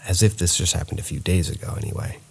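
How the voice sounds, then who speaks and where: Low gravelly man reading scary stories. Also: quick pace, a little mellow. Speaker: narrator reading viewer stories. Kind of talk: scripted narration of true scary stories.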